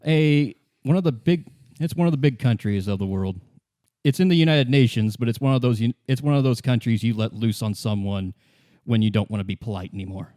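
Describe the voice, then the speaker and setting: A man's voice: mid-range voice, a little fast, dark tone, no room sound, studio quality, lightly acted. A man playing a cynical, world-weary woman who smokes, in casual, evasive improvised dialogue for a tabletop roleplay. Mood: dry, sardonic.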